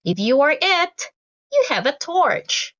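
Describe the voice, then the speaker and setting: A woman voicing eager, playful children from a storybook with excitable phrasing. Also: high voice, takes it slowly, highs cut off, pitched above the performer's own voice, heavily acted.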